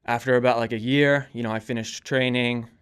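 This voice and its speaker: A man in his twenties answering interview questions casually, telling anecdotes in informal, slightly halting phrasing. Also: medium pitch, steady tone, a bit bright, clean tone. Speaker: podcast guest, volunteer firefighter and police dispatcher.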